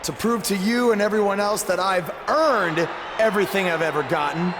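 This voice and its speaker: A man playing a brash, defiant rising wrestler in a video game, speaking with punchy, drawn-out emphasis. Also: sits high, crowd noise, noisy background, natural voice. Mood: defiant, cocky.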